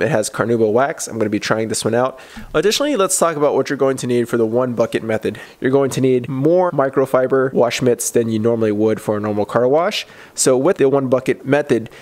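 A man in his thirties hosting a casual how-to video about washing a car, talking plainly and practically in his garage. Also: medium pitch. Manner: relaxed, plain-spoken, practical. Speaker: car care channel host. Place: home garage and parking lot.

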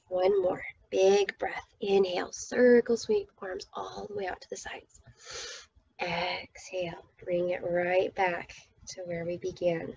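A woman leading a guided meditation as its teacher, speaking soothingly and often dropping toward a breathy whisper. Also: mid-range voice, mellow, highs cut off.

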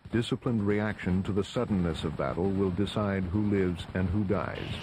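A man narrates a documentary from a prepared script in a low voice. Gunfire and battle noise sound clearly behind him on a noisy copy of the film.

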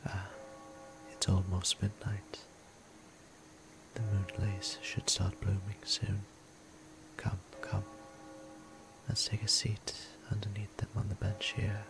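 A man playing a gentle, courteous, centuries-old vampire priest in an intimate ASMR roleplay, speaking formally and tenderly in a hushed half-whisper. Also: on the low side, completely flat delivery, takes it slowly, gravelly, pauses often, music in the background, poor audio. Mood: tender, softly awed.

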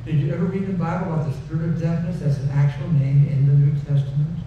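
Older pastor preaching in an echoey hall. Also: male voice, a little high, fairly even intonation, fast talker. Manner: folksy, conversational preaching with repeated phrases. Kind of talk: sermon and closing prayer. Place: wood-paneled church stage, indoors. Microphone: headset mic at a pulpit. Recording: echoey room, distant and dull, noisy.

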